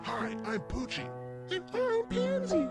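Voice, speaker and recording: Friendly kids tv puppy, pitch swoops all over, loud music behind. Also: sing-song, a little slow, full character voice. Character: friendly puppy characters on a children's TV show. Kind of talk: children's television presenting.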